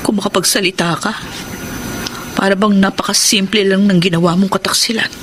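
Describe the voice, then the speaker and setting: An actress playing a heartbroken, jealous and bitter betrayed wife in a Filipino radio drama, with an emotional, aching delivery. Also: female voice, mid-range voice, steady tone, a little fast, fairly bright tone, slight background noise, natural voice. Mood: bitter, sarcastic. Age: adult. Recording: prominent music under the voice.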